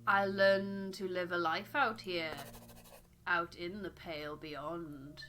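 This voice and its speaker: A woman's voice: fairly low pitch, steady tone, takes it slowly, bright, forceful tone, a little hiss or hum. A woman co-hosting a game playthrough, reading game text and verse aloud. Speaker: co-host of a voiced game playthrough.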